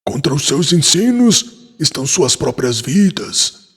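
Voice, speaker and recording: A man's voice: on the high side, big pitch swings, measured pace, husky, heavily acted. An actor playing a wise, patient male spiritual mentor who counsels a disciple in a solemn, didactic way in an audiobook drama. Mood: grave, instructive. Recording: long reverb on the voice.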